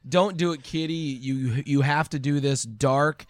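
A man's voice: medium pitch, a little slow, no room sound. A man co-hosting a podcast, chatting casually like an enthusiastic nerd. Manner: self-confessed nerdy enthusiast. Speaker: podcast co-host. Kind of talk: casual chat.

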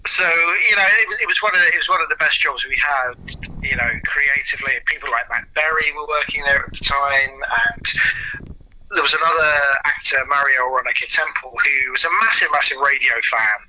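A man's voice: fairly high pitch, brisk, pushed and bright, rough recording. A middle-aged British man chatting casually and self-deprecatingly as an interview guest, telling anecdotes about his work. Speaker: interview guest, audio drama producer and writer.

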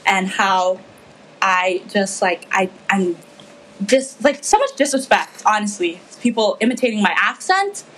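A woman's voice: mid-range voice, animated, a little slow, a bit bright, a little hiss or hum, rough recording. A teenage girl from the Philippines talking earnestly and with feeling in a casual discussion, with frequent fillers. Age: teens. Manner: earnest, impassioned, many fillers.

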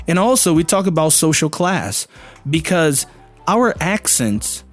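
Brazilian man, Portuguese first language, English teacher, chatty, slightly high voice, soft music behind. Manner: chatty, fills with "you know" and "man", acts out learners' voices. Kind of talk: casual video lesson.

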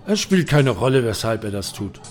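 A man's voice: medium pitch, very animated, moderate pace, soft background music, lightly acted. A man playing a stern, resolute knightly companion with a commanding, declamatory manner in a fantasy audio drama. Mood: grave, resolute.

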